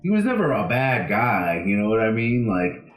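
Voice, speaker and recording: A man's voice: on the low side, big pitch swings, moderate pace, echoey room, a little hiss or hum. A young man from Florida co-hosting a comedy podcast, blunt and profane, laughing often. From Florida, USA. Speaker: podcast co-host. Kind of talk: casual comedy podcast banter.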